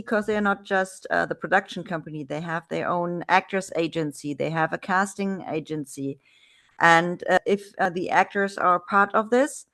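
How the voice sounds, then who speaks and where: A woman's voice: lowish voice, fairly bright tone. German woman hosting a casual chat. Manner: chatty, frequent restarts and fillers. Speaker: podcast host. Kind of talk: casual video-call interview.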